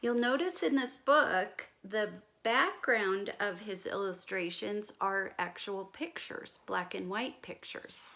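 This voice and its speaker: Slow, bright-voiced woman reading a picture book. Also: mid-range voice. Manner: careful, expressive storytelling with gentle questions to listeners. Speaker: teacher reading aloud to young children. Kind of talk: picture-book read-aloud with explanatory asides.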